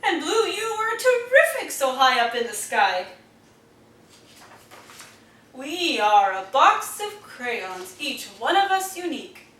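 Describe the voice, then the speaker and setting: Woman voicing emphatic talking crayons, slow and high. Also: big pitch swings, a bit bright, full character voice. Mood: pleased. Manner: rhyming, emphatic declarations. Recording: roomy, distant mic, faint noise.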